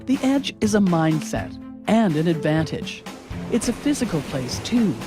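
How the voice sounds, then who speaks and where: A woman's voice: fairly low pitch, sing-song, measured pace. A woman reading polished, scripted narration for a promotional film. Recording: music bed under the voice. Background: music.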